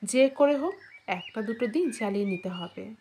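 A woman's voice: a little high, lively intonation, normal speed, dark tone, slight background noise. Woman voicing a stern, bossy rich male contractor. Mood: commanding. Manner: stern, commanding. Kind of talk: audio storybook character dialogue.

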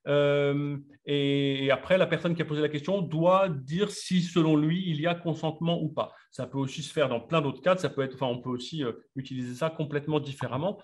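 Chatty middle-aged man, slightly high voice. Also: a little fast, few pauses. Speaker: webinar host presenting games. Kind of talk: casual product presentation. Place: office room with table. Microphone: desk camera mic on video call.

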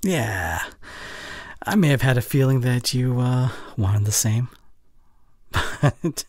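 A young man playing an anxious, caring boyfriend in an intimate ASMR roleplay, speaking softly and hesitantly close to the mic. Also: medium pitch, animated, takes it slowly, no echo. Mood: concerned, loving. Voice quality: soft, breathy. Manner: gentle, hesitant, murmuring reassurance. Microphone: close microphone.

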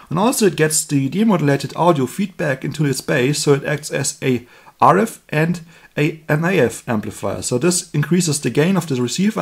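A man with a slightly husky voice giving an unscripted hands-on electronics demonstration, explaining step by step as the presenter. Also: on the high side. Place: indoors at a table.

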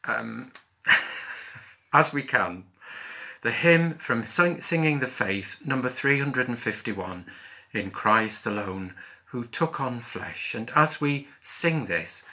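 A British man in his fifties, a minister leading worship with solemn, deliberate phrasing. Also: fairly low pitch, sharp tone. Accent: British English. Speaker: Methodist minister. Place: small living room at home.

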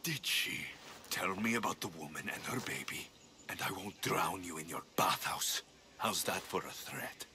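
An actor playing a tough, blunt, sardonic mercenary of ancient Greece in a video game. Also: male voice, sits low, animated, normal speed, pushed and bright, a little hiss or hum, heavily acted. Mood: menacing. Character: tough, wry male Spartan mercenary, blunt and menacing. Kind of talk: video game character acting.

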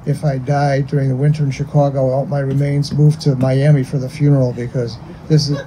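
An older man with an American accent giving an informal eulogy in a halting, conversational way outdoors. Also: mid-range voice, poor audio. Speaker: funeral eulogist. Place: outdoors under a cemetery tent. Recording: steady outdoor background noise.

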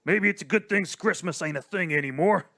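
A man's voice: high voice, brisk, sharp tone, heavily acted. A man voicing a brash male spaceship captain who drawls "y'all" in a live radio play. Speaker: host and performer of a charity stream.

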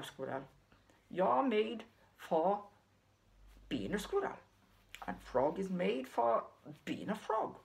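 Puppet show snake: woman playing stern, caring rescuer, admonishing with emphatic, lively intonation. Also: mid-range voice, gravelly. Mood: advising.

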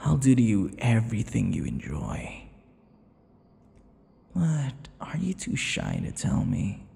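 A man playing a possessive, teasing vampire lover in an ASMR audio roleplay, smug and menacing, murmuring and slipping into breathy whispers. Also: huge pitch swings, dark tone, long reverb tail, heavily acted. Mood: taunting. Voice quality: low, gravelly. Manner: slow, breathy murmurs dropping into whispers, mocking endearments.

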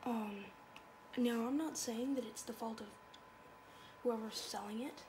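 A woman's voice: fairly high pitch, steady tone, measured pace. A woman chatting casually and rambling, repeating phrases as a video blogger browsing at a home computer. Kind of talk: casual chat while browsing online. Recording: noisy amateur home recording.

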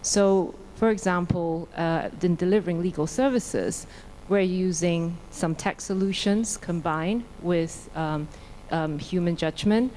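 A woman in her forties from Singapore, a lawyer speaking on a conference panel into a handheld mic, measured and full of small fillers. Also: on the low side, slight background noise, low-quality recording. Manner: warm, measured, with many fillers. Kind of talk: panel discussion. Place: large conference stage.

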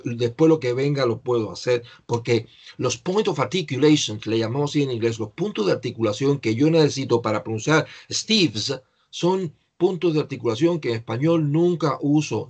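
Husky older male teacher on a video call. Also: medium pitch, lively intonation, normal speed, darkish tone. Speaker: English teacher. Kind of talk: online class, coaching a student.

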